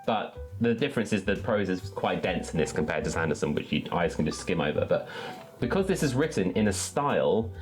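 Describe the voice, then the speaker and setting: A man's voice: deep voice, big pitch swings, fairly quick, over music. A man hosting an enthusiastic book talk, articulate and wordy, with emphatic phrasing. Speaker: book reviewer and readalong host.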